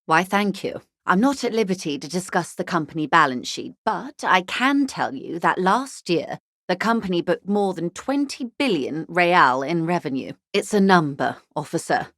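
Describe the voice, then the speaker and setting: An actress playing a shrewd, genteel corporate negotiator in a video game, speaking in a refined, articulate and dryly understated manner. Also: female voice, fairly low pitch, lively intonation, moderate pace, a bit bright, dry recording, studio quality. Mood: composed, informative. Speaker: video game character voice actor. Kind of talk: video game dialogue, measured conversational delivery.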